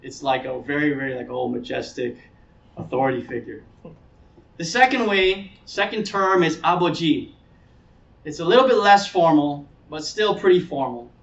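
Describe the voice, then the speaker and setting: A man's voice: on the high side, normal speed, creaky, fairly bright tone. A man preaching a sermon as a pastor, teaching conversationally and earnestly, repeating phrases for emphasis. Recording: roomy, distant mic, dull sound.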